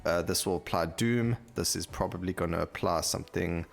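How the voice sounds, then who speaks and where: A man's voice: low-pitched, fairly quick, gravelly, faint music behind. A man giving casual gameplay commentary as a gaming video host, thinking aloud as he reads out stats on screen.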